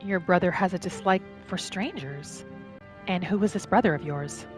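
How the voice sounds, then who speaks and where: A woman narrating her game roleplay in character, with a gentle, musing manner as if talking to herself and her pets. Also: on the low side, normal speed. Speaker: roleplay gaming host. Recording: music behind the voice. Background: background music.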